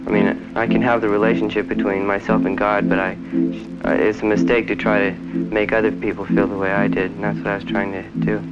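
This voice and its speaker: A low-voiced man talks slowly and haltingly in a flat, even tone. It comes from an old archival tape, with loud music playing over it. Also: poor audio. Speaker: interviewee.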